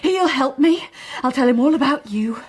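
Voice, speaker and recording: An unstable, obsessive young nun. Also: female voice, sits high, animated, moderate pace, dry recording. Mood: threatening. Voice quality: intense and feverish, swinging between pleading and whispered paranoia. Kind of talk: radio drama acting.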